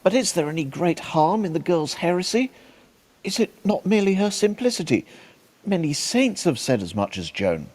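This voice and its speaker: Radio drama: male actor as a compassionate, earnest friar, high-pitched, pleading, very animated intonation. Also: normal speed. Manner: earnest, questioning. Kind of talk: radio play acting.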